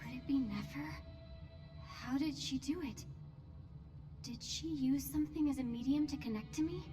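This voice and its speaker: Woman as young female traveler, high voice, wary, earnest, defiant, video game drama, clear background music. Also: moderate pace, dark tone, lightly acted. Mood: suspicious, defiant.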